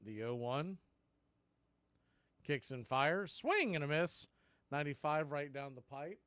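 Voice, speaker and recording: A man hosting a baseball broadcast, giving play-by-play commentary in clipped phrases with deliberate pauses. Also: fairly high pitch, lively intonation, fairly bright tone, smooth, no echo. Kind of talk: live play-by-play commentary mixed with history recap.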